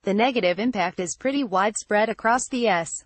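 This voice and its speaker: A woman's voice: medium pitch, fast talker, dry recording. Woman reading financial news, dry close sound. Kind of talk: scripted news narration.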